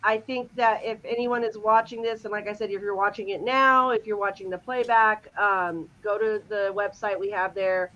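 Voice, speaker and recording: A woman's voice: medium pitch, fairly even intonation, moderate pace, hardly any pauses, bright, forceful tone, muffled recording. A woman in her fifties, co-hosting a podcast in a casual, enthusiastic chat over a video call. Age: 50s. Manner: enthusiastic, chatty. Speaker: podcast co-host. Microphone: remote video call.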